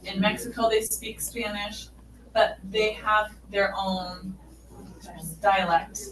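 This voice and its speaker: A woman's voice: mid-range voice, fairly even intonation, slow, a bit bright. Deaf woman teaching in an echoey hall. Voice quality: deaf speaker's voice. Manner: patient, explains step by step. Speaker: sign language teacher. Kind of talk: teaching a class, answering questions. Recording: echoey hall from far off, noisy.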